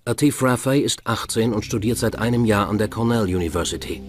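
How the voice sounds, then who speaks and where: A man's voice: lowish voice, fairly quick, soft background music. A man reading scripted documentary narration as the narrator of a true crime podcast. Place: voice-over with music behind.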